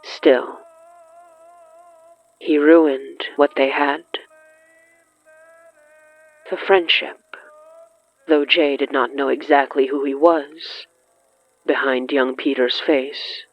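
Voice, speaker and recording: Slow, deliberate storyteller over faint music. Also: medium pitch, steady tone, dry recording, dull audio. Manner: measured, deliberate, with dramatic pauses. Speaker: narrator of an audio fiction podcast. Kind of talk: scripted dramatic horror narration.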